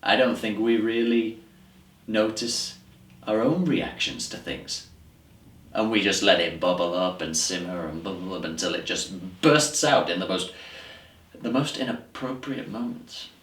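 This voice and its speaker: A very low-voiced, disillusioned man played by a male actor muses his way through a stage monologue. He is upset and exasperated, halting and then pressing on words for emphasis. The sound is roomy and distant from the microphone. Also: a bit bright. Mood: exasperated, despairing. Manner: halting, emphatic, repeats words, laughs ruefully. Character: upset, disillusioned New York theatre man, musing and exasperated. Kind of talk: dramatic audition monologue, conversational stage acting.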